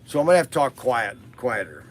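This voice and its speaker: A man hosting a casual live podcast, hoarse from losing his voice, rambling through a story with many fillers and restarts. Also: medium pitch, sing-song, fast talker. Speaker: host of a toy business live podcast.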